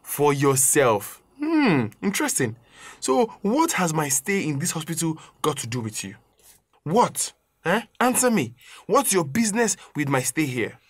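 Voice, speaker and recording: A man's voice: on the high side, very animated, unhurried, dry recording. A man playing a bitter, suspicious young hospital patient in an audio drama, with a Nigerian accent and scornful questioning. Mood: scornful, angry.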